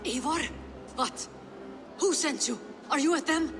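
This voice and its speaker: A woman's voice: sits high, a little slow, over music. An actress playing a wary Norse woman, shaken after an attack, who talks in a guarded and urgent way in a game cutscene. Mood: alarmed. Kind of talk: video game cutscene acting.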